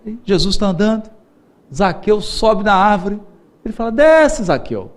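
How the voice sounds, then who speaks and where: A middle-aged Brazilian man lectures with a high, lively voice that swoops up and down. He is playful, laughs often and acts out the people in his stories. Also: measured pace. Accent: Brazilian Portuguese. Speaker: spiritist lecturer. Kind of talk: seminar lecture with storytelling.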